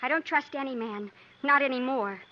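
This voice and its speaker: A woman's voice: high-pitched, normal speed, bright, forceful tone. An actress playing a frightened but proud, mistrustful young lady with a genteel, dramatic manner in a 1950s radio drama. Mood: guarded. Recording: muffled, noisy old radio broadcast.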